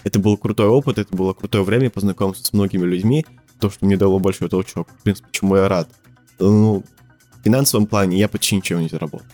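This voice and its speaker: A teenage man from Siberia talks as a podcast guest, rambling through his answers with fillers and restarts and the odd laugh. His voice sits on the low side. Faint music plays underneath. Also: fairly even intonation, fast, warm tone. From Siberia, Russia. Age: teens. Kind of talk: casual podcast interview.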